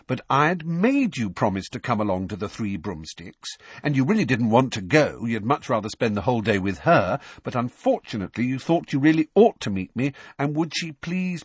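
A man's voice: on the high side, breathy edge, dry recording, pitched above the performer's own voice, full character voice. A man voicing a brainy teenage girl who explains things patiently, with faint exasperation, in an audiobook.

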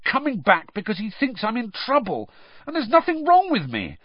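A man's voice: on the low side, big pitch swings, fast talker, slightly rough, no room sound, lo-fi sound. A man voicing a moody, anxious teenage schoolboy in a storybook, curt and impatient. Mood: angry, frustrated. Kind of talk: audiobook character voice.

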